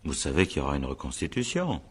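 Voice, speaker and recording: A male examining magistrate in a radio crime drama. The voice is extremely low and husky. He questions with patience, small hesitations and courteous irony, as a shrewd official who takes his time. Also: wildly swooping pitch, quick pace, exaggerated voice. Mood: insistent. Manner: hesitant, probing, politely ironic.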